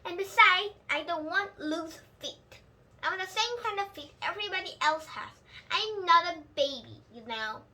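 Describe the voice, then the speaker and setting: A woman's voice: very high-pitched, expressive, normal speed, sharp tone, pitched above the performer's own voice, full character voice. A woman voicing a huffy, boastful little girl who insists she is grown-up in a storybook, stressing words emphatically. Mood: indignant.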